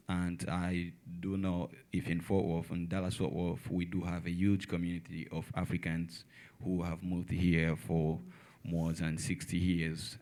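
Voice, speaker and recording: Congolese-accented young man, deep monotone, deferential audience question. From Democratic Republic of Congo. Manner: polite, formal, deferential. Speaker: audience member asking a question.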